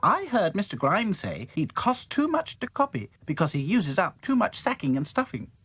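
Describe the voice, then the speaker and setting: A man voicing a bossy, cheerful girl doll in a storybook, explaining things briskly. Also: high voice, wildly swooping pitch, a bit bright, slightly rough, no echo, voice pushed up, exaggerated voice. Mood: eager.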